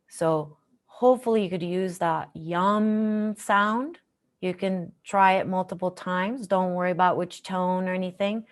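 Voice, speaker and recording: A woman teaching a guided meditation in a gentle, measured, instructional manner. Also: lowish voice. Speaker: meditation teacher, session host.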